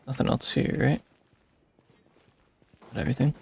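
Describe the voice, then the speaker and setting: Gravelly male game streamer. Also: mid-range voice, expressive, normal speed, darkish tone. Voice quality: gravelly, husky. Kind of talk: casual live gameplay commentary.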